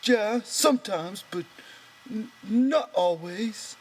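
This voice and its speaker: A man voicing a simple-minded, trusting and stubborn grown man in an audiobook, with childlike, halting phrasing. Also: high voice, expressive, a little hiss or hum, voice pushed up, poor audio. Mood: agreeable. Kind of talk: character voice in an audiobook reading.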